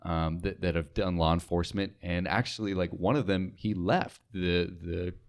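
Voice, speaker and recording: A man's voice: low voice, steady tone, moderate pace. A man with an American accent hosting an interview as a casual chat, speaking informally and peppering his sentences with "like". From mainland United States. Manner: informal, heavy use of "like". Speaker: interview host.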